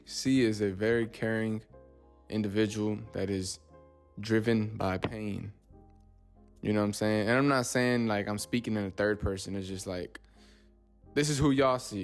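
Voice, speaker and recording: A man's voice: on the low side, smooth. A man, hosting his own podcast in loose, rambling casual talk. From Texas, USA. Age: 20s. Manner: loose and rambling, says "you know what I'm saying" often. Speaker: podcast host.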